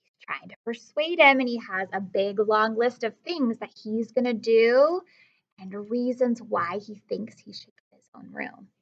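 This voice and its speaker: A woman's voice: fairly high pitch, a little slow, lo-fi sound. A woman teacher leading a read-aloud lesson for young children, speaking expressively, asking questions and explaining things simply.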